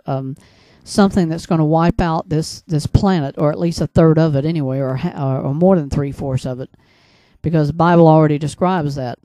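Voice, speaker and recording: An American man delivering a religious and political commentary monologue in an earnest, admonishing, halting way, often repeating his phrases. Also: on the high side, dark tone, no room sound. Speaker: broadcaster.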